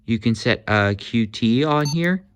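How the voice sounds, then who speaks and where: A man's voice: mid-range voice, expressive, a little slow, a little hiss or hum. A man casually demonstrating walkie-talkies, hesitant, with many fillers and corrections of himself. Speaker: gadget reviewer.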